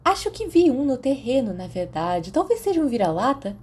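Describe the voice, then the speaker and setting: A woman's voice: sits high, very animated, normal speed, darkish tone, husky, pitched above the performer's own voice, full character voice. A woman acts out curious teenage pupils from an audiobook story. The voice is lifted and youthful, with a puzzled, gossipy way of talking. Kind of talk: fan fiction audiobook dialogue.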